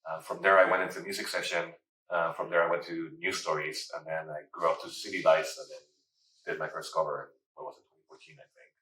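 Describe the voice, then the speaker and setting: A man's voice: low voice, little pitch movement, fairly quick, pushed and bright. A man in his thirties from Mexico, chatting casually in an interview with lots of fillers. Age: 30s. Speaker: photographer and writer. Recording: roomy, distant mic.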